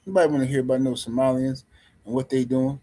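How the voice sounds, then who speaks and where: A man's voice: medium pitch, fairly even intonation, fast, warm tone. A man hosting a boxing livestream in casual commentary, loose and teasing, rambling and repeating words as he reads chat messages. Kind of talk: casual live-stream commentary.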